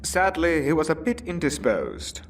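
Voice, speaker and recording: An actor playing a calm, retired older butler and mentor in an audio drama, formal, dry and measured. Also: male voice, fairly high pitch, distant mic, slight background noise, heavily acted. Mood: dry, wry.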